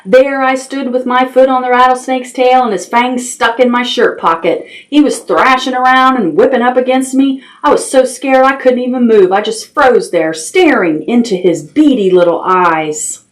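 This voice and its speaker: A woman's voice: on the high side, normal speed, few pauses, rough recording. Woman reading aloud at children's storytime. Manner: expressive, simple child-directed speech with asides to listeners. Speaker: library storytime host. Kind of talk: children's storytime with action songs and read-aloud.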